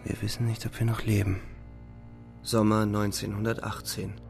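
An actor playing a young, weary front-line soldier who narrates a radio play in a sober, restrained, matter-of-fact way. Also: male voice, lowish voice, flat delivery, moderate pace, vocal fry. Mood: bleak, matter-of-fact. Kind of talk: scripted radio drama narration. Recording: music faintly behind the voice. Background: music.

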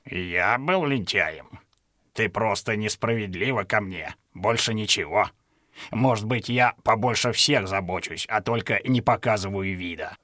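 A grumbling old drake from a storybook, with a fairly low, bright and forceful voice. He sounds pompous and self-satisfied, forever justifying his laziness. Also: unhurried, dry recording, studio quality. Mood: offended, self-justifying. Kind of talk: audio storybook character acting.

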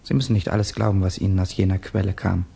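An actor in a German radio drama playing a tormented, evasive man who questions haltingly and insistently, with a husky, creaky voice. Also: deep voice, little pitch movement, mellow, full character voice. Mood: bitter. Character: tormented, guilt-ridden Russian man, evasive and probing.

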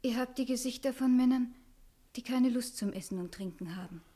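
A woman's voice: a little high, fairly quick, warm tone, lightly acted. An actress playing a very young, guarded and pert village inn girl in a radio play, giving pointed replies. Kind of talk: German radio play dialogue. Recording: echoey room, faint music behind.

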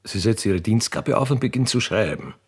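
Gravelly, wry male narrator. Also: lowish voice, very animated, normal speed. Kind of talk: scripted comic radio play narration.

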